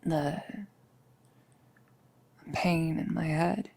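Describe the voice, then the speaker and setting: A woman performs a nervous girlfriend confiding in her partner in an ASMR audio roleplay. Her voice sits low and crackles with fry, in a very dry recording with no room around it. She rambles intimately, halting and hesitating as she opens up. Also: fairly even intonation, a little mellow, dry recording, heavily acted. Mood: vulnerable, reflective. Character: nervous, chatty girlfriend about to become a vampire.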